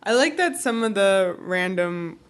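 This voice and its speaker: A woman's voice: mid-range voice, big pitch swings, measured pace, clean tone. A woman co-hosting a podcast, casually recapping a TV show. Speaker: podcast co-host.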